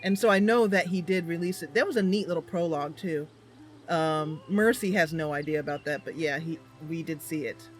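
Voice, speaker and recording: A woman's voice: low voice, fairly quick, faint music behind. A woman hosting a gaming channel, casually commentating on her roleplay, thinking aloud and explaining as she goes.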